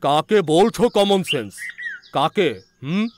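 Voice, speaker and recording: A man's voice: fairly high pitch, expressive, slow pace, a little hiss or hum. A man voicing an argumentative older male herbal doctor in an audio story, emphatic and condescending. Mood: indignant, scolding.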